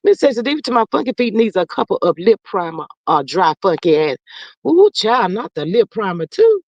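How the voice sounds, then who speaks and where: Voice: mid-range voice, big pitch swings, a little fast, dry recording, lo-fi sound. Someone putting on a fretful old mama. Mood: gossipy chatty. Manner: stammering fillers, calls everyone honey and child. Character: older woman, a fretful, chatty mother. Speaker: livestream character reading viewer comments. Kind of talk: improvised comedic character livestream.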